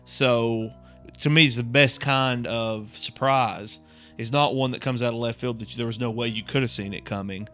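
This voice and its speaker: A man's voice: mid-range voice, fairly even intonation, moderate pace, bright, forceful tone. A man co-hosting a podcast, talking a story through in a conversational, explanatory way. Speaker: podcast co-host. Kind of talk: casual discussion of a story. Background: faint music.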